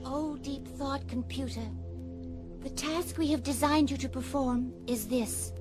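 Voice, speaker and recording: A woman's voice: high-pitched, steady tone, a little slow, music in the background. A woman playing an anxious, formal attendant of a great computer in a radio comedy. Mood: awed.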